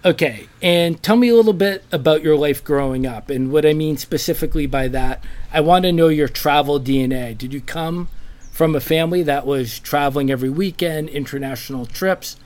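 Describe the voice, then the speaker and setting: A man hosting a travel podcast, reading a scripted introduction and then asking his guest curious, measured questions. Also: fairly high pitch, darkish tone. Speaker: podcast host.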